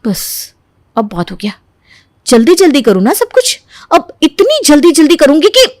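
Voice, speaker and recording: Woman playing high-pitched, aggrieved young daughter-in-law. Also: wildly swooping pitch, normal speed, dry recording, clean studio sound. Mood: sarcastically resolute. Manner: pert, aggrieved, arguing. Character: young exasperated daughter-in-law, dutiful but talking back. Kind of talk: Hindi animated moral story acting.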